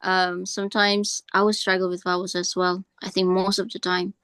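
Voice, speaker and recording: A woman's voice: fairly low pitch, little pitch movement, normal speed, fairly bright tone, smooth, no room sound, clean studio sound. An Indonesian woman hosting an online class, switching between English and Indonesian with some hesitation. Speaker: class host.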